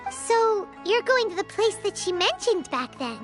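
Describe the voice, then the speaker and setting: A woman voicing a small, excitable, childlike fairy companion in a video game, squeaky and nosy. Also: a little slow, exaggerated voice. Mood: curious. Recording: loud music under the voice.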